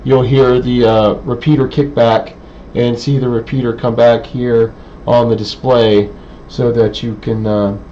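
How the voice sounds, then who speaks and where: A man giving an informal technical demonstration in a halting, hesitant way with many pauses. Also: medium pitch, level tone, dark tone. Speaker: presenter, radio hobbyist. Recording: dull, lo-fi sound with faint background noise.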